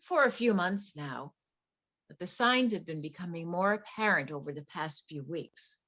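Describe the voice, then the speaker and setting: Low-voiced, flustered wealthy wife, acted by a woman. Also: lively intonation, moderate pace, fairly bright tone. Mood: anxious, earnest. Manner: earnest, polite, flustered. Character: wealthy, anxious wife confiding fears about her husband. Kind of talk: staged play reading.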